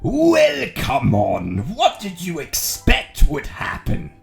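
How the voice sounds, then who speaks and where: A man voicing a bombastic, gloating mad-scientist villain with a gravelly, theatrical delivery. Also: high-pitched, wildly swooping pitch, takes it slowly, heavily acted. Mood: smug. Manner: theatrical, gloating, swinging from growls to shouts. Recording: echoing voice under loud music.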